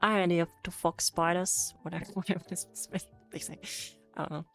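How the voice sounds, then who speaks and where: A woman's voice: fairly low pitch, steady tone, a little fast, gravelly, faint music behind. A young woman, a Polish native speaker, with an English accent that mixes American and British, chatting casually on a stream in a rambling, self-correcting way full of fillers. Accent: mix of American and British English. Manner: rambling and self-correcting, frequent fillers and restarts, laughs easily. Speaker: VTuber streamer. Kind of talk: casual livestream chat, reading chat aloud.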